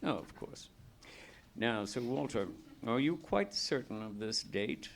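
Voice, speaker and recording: Guy playing a police detective in old radio play, gravelly, businesslike, faint noise behind him. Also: medium pitch, animated, measured pace. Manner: formal, businesslike. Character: brisk, affable police detective official. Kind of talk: live old-time radio play acting.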